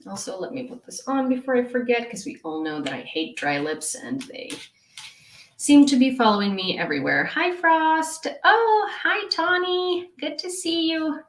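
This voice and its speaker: A woman's voice: sits high, animated, normal speed, reverberant. A young woman from Ukraine chatting casually on a live stream and reading viewers' messages, giggling often and trailing off into fillers and restarts.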